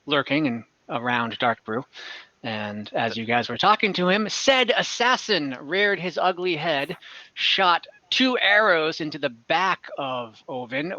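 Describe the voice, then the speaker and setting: A man narrating as dungeon master in an online tabletop game, relaxed and chatty with many fillers. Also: on the high side, no echo. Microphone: computer mic on a video call. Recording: muffled online call audio.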